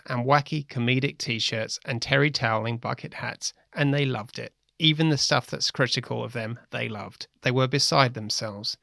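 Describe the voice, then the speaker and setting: A man with a medium-pitched voice and plenty of fry in it. He relates first-person stories in the role of a playwright. The sound is dry, with next to no room on it. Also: a bit bright, no echo. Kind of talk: reading quoted first-person anecdotes.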